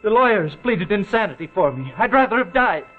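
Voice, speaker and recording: High-voiced, menacing killer on the run. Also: male voice, big pitch swings, brisk, soft background music. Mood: weary, brooding. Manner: sneering, commanding, swings from quiet to outbursts. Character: menacing escaped killer, cruel, taunting, unstable intruder. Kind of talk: vintage screen melodrama acting. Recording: tinny band-limited old film soundtrack.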